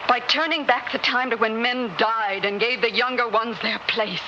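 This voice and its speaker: A woman's voice: fairly high pitch, fairly even intonation, moderate pace, muffled recording, lightly acted. Woman playing a zealous young rebel in noisy old radio drama. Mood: bitter, persuasive. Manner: intense, declamatory, urgent.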